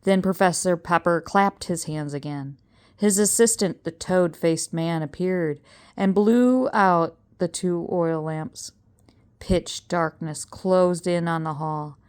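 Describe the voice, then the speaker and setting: A woman's voice: on the low side, takes it slowly, a little mellow, clear, resonant tone. An older woman reading a story aloud in a suspenseful, dramatic storytelling manner. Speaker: storybook reader. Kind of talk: read-aloud story narration.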